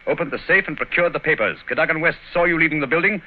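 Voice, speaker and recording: An actor playing a commanding, incisive British gentleman detective with a crisp, theatrical authority in 1940s radio drama. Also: fairly high pitch, rapid-fire, natural voice. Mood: interrogating. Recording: tinny old radio broadcast recording.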